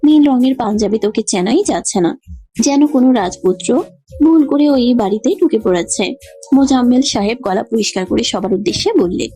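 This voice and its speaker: A woman's voice: on the high side, expressive, moderate pace, dark tone, faint music behind. A woman giving scripted narration of a romantic story.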